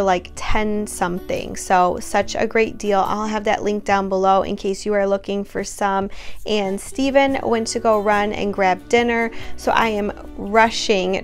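A young adult woman narrating a home cleaning vlog in a casual, upbeat voice-over, talking straight to her viewers. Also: mid-range voice. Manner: upbeat, chatty, addresses viewers directly. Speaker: home vlogger.

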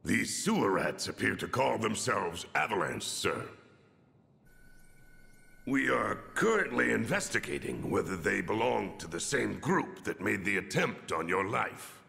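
Gravelly, pompous military villain. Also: male voice, on the low side, very animated, bright, forceful tone, in a large hall, heavily acted. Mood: smug, deferential. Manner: formal, deliberate, pompous. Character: pompous, menacing middle-aged male military executive villain. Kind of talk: dramatic video game voice acting.

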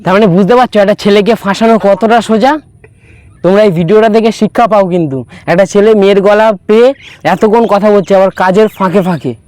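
A man speaks in a high voice at a quick pace. He hosts a prank video, commenting to viewers casually and bluntly in plenty of slang. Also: a little mellow, dry recording. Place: open field outdoors.

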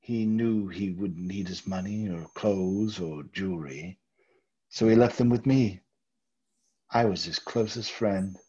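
A man playing a nervous, overpolite murderer hiding his guilt, halting and husky, in old-time radio theater style. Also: sits low, dark tone. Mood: smooth, evasive. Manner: halting, over-courteous, stammering. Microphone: video call. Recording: dull, lo-fi video call audio.

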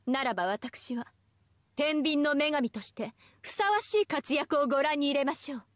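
A woman's voice: high-pitched, moderate pace, no echo, studio quality, close to an everyday voice. An actress voicing a proud, elegant young goddess in an anime game, with pompous, ornate ladylike speech. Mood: solemn, proud.

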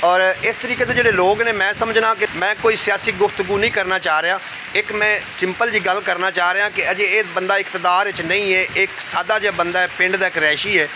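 A man's voice: high voice, steady tone, brisk, noisy background. A man in his thirties with a Punjabi accent, vlogging from his village and interviewing a local on a phone held at arm's length. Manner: raises voice to address viewers, speaks softer while walking. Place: outdoors in a village, light rain. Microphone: phone held at arm's length, selfie style.

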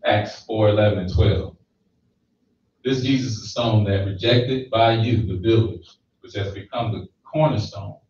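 A man's voice: lowish voice, measured pace, a little mellow. Man preaching in an echoey hall. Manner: conversational preaching with frequent "right?" checks. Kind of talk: sermon. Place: small church hall with stage. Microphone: handheld mic. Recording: echoey, distant, slightly dull room recording.

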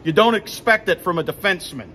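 A man's voice: sits high, animated, fast talker, sharp tone, slight background noise. A man in his fifties, hosting a casual postgame recap in a hockey arena, rambling with many fillers and restarts. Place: indoor hockey arena beside the rink. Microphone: phone camera at arm's length.

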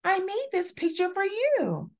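A woman's voice: very high-pitched, wildly swooping pitch, a little slow, darkish tone, voice pushed up, full character voice. A woman voicing a small, earnest schoolboy in a children's picture book, exclaiming in an emphatic, childlike way. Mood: proud, excited.

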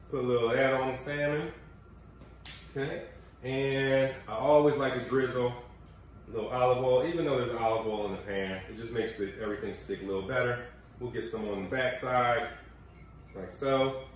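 A man in his forties hosts a casual cooking show in a home kitchen, chatting and coaching viewers with health tips. His voice sits away from the microphone, in a room with heavy echo and a faint hiss of background noise. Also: medium pitch. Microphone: far camera mic. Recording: echoey kitchen from far off.

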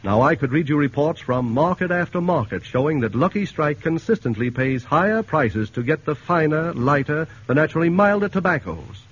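Male 1940s announcer for sponsor spots, measured and persuasive, husky tone, dull lo-fi radio sound. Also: fairly high pitch, animated. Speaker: announcer reading sponsor message. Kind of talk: 1940s radio advertising pitch.

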